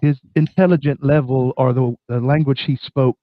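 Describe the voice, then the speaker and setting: A man hosts a casual live stream with a scratchy, sick-sounding voice at a middling pitch. He talks in a folksy, halting way. The sound is dry and a little dull. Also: steady tone, no echo. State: bronchitis, scratchy voice.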